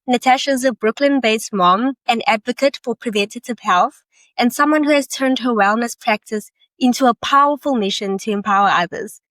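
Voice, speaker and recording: A woman's voice: a little high, a bit bright, dry recording. Upbeat woman hosting a wellness podcast. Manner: upbeat, affirming interviewer. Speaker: podcast host. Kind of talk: interview hosting.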